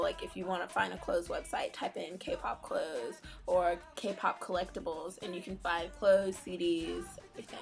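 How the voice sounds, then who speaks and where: A young woman co-hosting a casual fan-channel video, answering questions in a relaxed, giggly way. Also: mid-range voice. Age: young adult. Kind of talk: casual Q&A chat.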